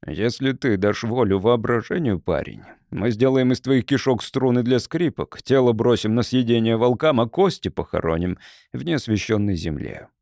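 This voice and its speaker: A stern monastery abbot voiced by a male narrator in an audiobook story. He is commanding and menacing, with a middling pitch and a dry, close sound. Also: lively intonation, moderate pace, a little mellow, dry recording, natural voice. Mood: threatening. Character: stern abbot threatening a young monk with grisly punishment.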